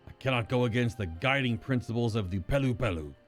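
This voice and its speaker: A small, gruff male saddle maker creature from a fantasy game, voiced by a man reading along. He speaks formally and gets straight to business, with quiet game music behind. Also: mid-range voice, animated, fast talker, lightly acted.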